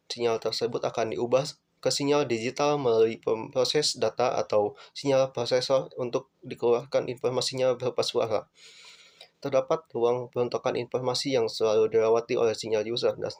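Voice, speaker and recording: A man's voice: medium pitch, little pitch movement, normal speed, darkish tone. Young man reading a student report. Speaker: student presenter. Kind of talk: reading a class presentation.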